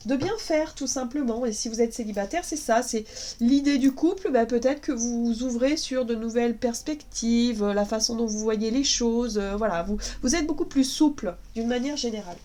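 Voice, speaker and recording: A woman's voice: fairly high pitch, moderate pace, dark tone. A woman with a cold, giving a casual, hesitant tarot reading to viewers. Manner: hesitant, many fillers and restarts. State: has a cold, sniffly. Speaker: tarot card reader.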